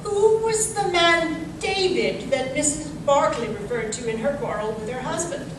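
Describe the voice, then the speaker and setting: A woman's voice: sits high, normal speed. A woman playing a polite, eager, inquisitive Victorian lady in a radio drama, courteous and self-deprecating. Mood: curious. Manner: courteous, animated. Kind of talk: old-time radio drama acting. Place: live performance in a museum. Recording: very echoey, distant, noisy stage recording.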